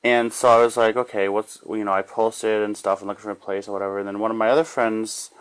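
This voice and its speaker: A man giving a casual, unscripted spiritual self-help monologue in a rambling, halting way, often repeating phrases and trailing off. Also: fairly low pitch, level tone, clear, resonant tone. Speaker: spiritual life coach sharing guidance.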